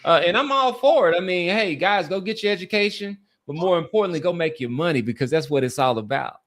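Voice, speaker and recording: A middle-aged American man with a somewhat high-pitched voice talks as a sports podcast host. His delivery is casual and halting. He often repeats words to drive a point home. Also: expressive, fairly bright tone.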